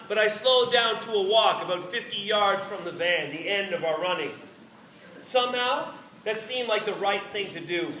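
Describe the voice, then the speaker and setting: Guy reading a story out loud, pretty high voice, expressive, faint noise, distant mic. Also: roomy sound. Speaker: reader. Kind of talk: expressive first-person story read aloud.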